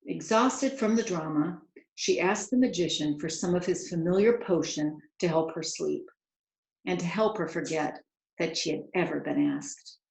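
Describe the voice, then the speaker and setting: A woman reading a picture book aloud with expressive, dramatic storyteller phrasing, drawing out key words. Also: on the low side, echoey room, poor audio. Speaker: children's author reading aloud.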